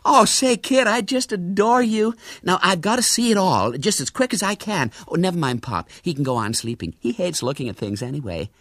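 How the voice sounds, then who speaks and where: Storybook role: excitable young American lady, high-pitched and gushing, performed by a man. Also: brisk, dry recording, studio quality, heavily acted. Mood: eager. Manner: gushing, eager, wide-eyed. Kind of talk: storybook character voice.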